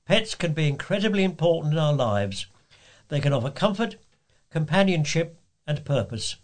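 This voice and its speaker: A man's voice: fairly high pitch, animated, normal speed. A man reading scripted local news as a volunteer reader.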